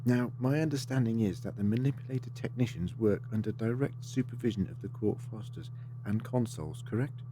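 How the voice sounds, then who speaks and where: A man's voice: medium pitch, fairly quick, background noise, close to an everyday voice. A man acting in an audio drama as a polite, assured time-travelling adventurer, articulate and theatrical with a wry streak. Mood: grave, deliberate.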